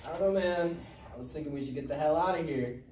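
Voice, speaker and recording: A man's voice: fairly high pitch, fast, darkish tone, lightly acted. A man playing a cocky, slangy, foul-mouthed teenage boy who needles his buddy in a live comedy table read. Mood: pleading, earnest. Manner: slangy, taunting. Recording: roomy, distant mic, faint noise.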